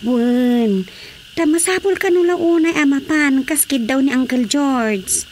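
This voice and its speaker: A woman's voice: high-pitched, measured pace, darkish tone, slight background noise. A woman with an Ilocano Filipino accent, acting a sassy, scolding young woman in a radio comedy drama. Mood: chatty, concerned.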